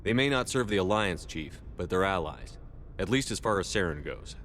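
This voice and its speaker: An actor playing a composed, authoritative starship commander in a video game. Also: male voice, deep voice, animated, moderate pace, fairly bright tone, a little hiss or hum. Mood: measured, firm. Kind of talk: video game character dialogue.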